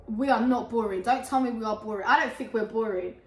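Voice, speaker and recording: A young woman from Colombia co-hosting a chat show, loud and hyper, laughing often as she talks. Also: fairly high pitch, fast, echoey room. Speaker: podcast co-host. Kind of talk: casual chat.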